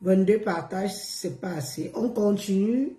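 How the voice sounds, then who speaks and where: A hoarse woman chatting on a live stream, repeating herself and coaxing viewers along with drawn-out words. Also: mid-range voice, dark tone, reverberant. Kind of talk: casual live stream chat.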